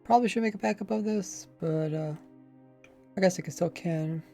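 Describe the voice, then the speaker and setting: Give me a mellow, slightly mumbling male streamer with a faint accent, chatting loosely over quiet background music. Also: sits high, moderate pace, creaky. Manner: rambling, admits mumbling and lazy pronunciation. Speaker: Minecraft streamer. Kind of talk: casual livestream chat while playing.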